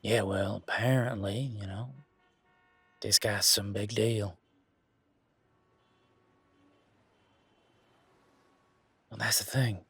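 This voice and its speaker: A man playing a laid-back, wry werewolf in an intimate one-sided audio roleplay, talking in a casual, folksy, teasing way with a husky voice. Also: on the low side, fairly even intonation, measured pace, fairly bright tone, no room sound, full character voice. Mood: wry, uneasy.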